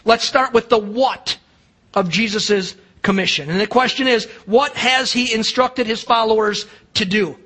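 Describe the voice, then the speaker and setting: High-pitched American male pastor preaching. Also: unhurried, pushed and bright. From United States. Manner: emphatic, rhetorical questions, acts out remembered dialogue. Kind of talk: sermon.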